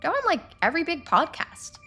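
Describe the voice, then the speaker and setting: Casting: naive young girl for a game, acted by a woman, high-pitched, wondering, chatty, animated. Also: brisk, soft background music, full character voice. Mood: impressed. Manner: youthful, wondering, uses like a lot.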